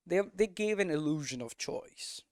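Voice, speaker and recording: Clear, higher-pitched man explaining informally. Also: animated, moderate pace, a little mellow, no echo, studio quality. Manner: conversational, thinking aloud, frequent "you know" and "right?" tags. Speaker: game development streamer and teacher.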